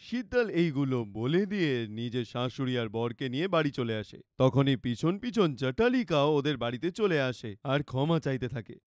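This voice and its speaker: A man's voice: medium pitch, sing-song, fairly quick, few pauses, darkish tone, no echo, studio quality. A man narrating a scripted cartoon fairy tale as the storyteller.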